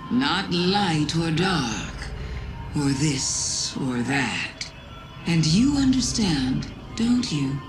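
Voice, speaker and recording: Cunning witch-goddess game villain, silky and insinuating, very unhurried, reverberant over music. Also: deep voice. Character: seductive, cunning, ancient witch-goddess tempting a hero. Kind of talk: theatrical game villain monologue.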